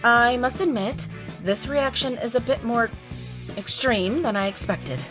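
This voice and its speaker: A woman's voice: on the high side, unhurried, lightly acted. A woman voicing a composed, formal rabbit prosecutor in a fan fiction audio story. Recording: clear music under the voice.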